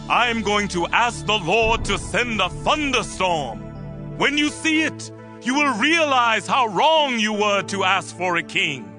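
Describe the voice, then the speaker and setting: A man's voice: high-pitched, pushed and bright, heavily acted. A man playing a stern, solemn prophet admonishing his people in a dramatized scripture reading. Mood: solemn warning. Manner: slow, grave, exhorting. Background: music.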